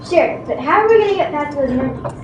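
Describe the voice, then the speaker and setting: A woman's voice: sits high, expressive, fast talker, lo-fi sound. A female amateur performer playing a friendly young dragon who cannot fly in a readers theatre play. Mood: plaintive. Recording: echoey room from far, clear noise.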